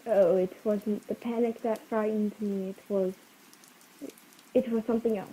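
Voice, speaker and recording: A woman playing a frightened, secretive spy on the run in a radio play, pleading and urgent in halting phrases. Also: mid-range voice, steady tone, warm tone, close to an everyday voice. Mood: pleading, panicked. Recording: tinny phone-like video call, faint noise.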